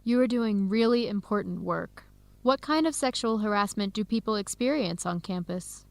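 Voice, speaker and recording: A woman's voice: mid-range voice, moderate pace, creaky, a little mellow, dry recording, lightly acted. A woman playing a friendly young Ethiopian American grad student with an American accent in a radio drama, speaking in a conversational way. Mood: interested. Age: young adult. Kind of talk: educational radio drama acting.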